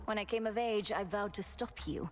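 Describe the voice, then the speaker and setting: An actress playing a determined, fierce young sorceress princess in a video game, speaking in formal, archaic, earnest phrases. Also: female voice, mid-range voice, normal speed, lightly acted. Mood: guarded. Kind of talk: dramatic video game acting.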